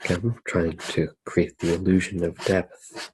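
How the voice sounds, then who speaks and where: A man narrating his drawing for ASMR in a soft-spoken, unhurried way, musing aloud as he works. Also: low-pitched, level tone, husky, dry recording, rough recording. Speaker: ASMR artist.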